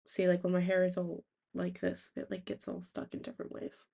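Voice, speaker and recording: A young woman with a raspy, sore-throated voice, chatting casually to live stream viewers in a halting, rambling way. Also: on the low side, expressive, warm tone. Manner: halting, rambling, thinking aloud. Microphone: laptop, without usual microphone.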